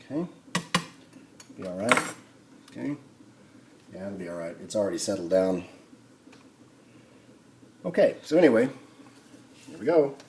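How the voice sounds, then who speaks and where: A man thinking aloud in an unhurried way as he gives a casual hands-on tutorial at a workbench. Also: on the low side, lively intonation, darkish tone. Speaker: hobbyist demonstrating a restoration. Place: indoor home workshop. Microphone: camera on the workbench, speaker off-screen. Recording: noisy camera audio, background noise.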